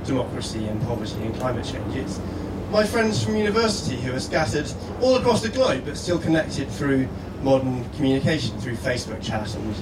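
A man from Scotland delivers a prepared persuasive piece live on stage. His delivery is earnest and rhetorical, with very lively intonation. The room rings with echo and there is clear background noise. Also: medium pitch, fairly quick, hardly any pauses. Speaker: guest speaker. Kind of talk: reading a prepared persuasive letter aloud on stage. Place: live stage event indoors. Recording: echoey room, distant mic, steady background noise.

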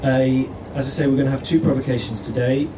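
A man introduces speakers at a live event in a loose, halting way. His voice sits in a middle register. It carries plenty of room echo, with clear noise in the background. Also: medium pitch, fairly even intonation. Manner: halting, hesitant delivery. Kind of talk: informal spoken introduction. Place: live stage event indoors. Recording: distant, echoey, noisy room with audience.

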